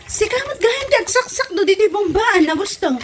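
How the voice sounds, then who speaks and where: An outspoken young village maiden with an extremely high, girlish voice and an Ilocano accent, voiced by an actress. She speaks frankly, in the style of a homemade comedy radio play. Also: lively intonation, moderate pace, fairly bright tone, soft background music. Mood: friendly, grateful. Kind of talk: amateur Ilocano comedy radio drama acting.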